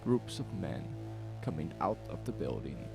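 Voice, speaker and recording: Man, gravelly low voice, dramatic horror storytelling, suspenseful pauses, music underneath. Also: big pitch swings. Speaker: narrator of a horror audio drama.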